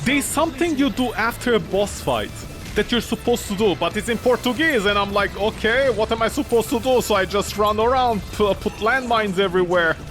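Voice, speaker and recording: A non-native English-speaking man rambles through a game stream, swearing freely and swinging between loud outbursts and mumbled asides. His voice sits high for a man. Loud game music plays under his talk. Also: brisk. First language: not English; grew up with French, Italian. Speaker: gaming streamer. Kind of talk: casual let's-play commentary.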